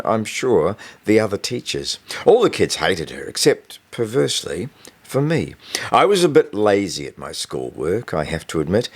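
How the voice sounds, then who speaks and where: Deep-voiced man narrating with lively intonation. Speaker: author reading own novel excerpt. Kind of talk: scripted audiobook narration.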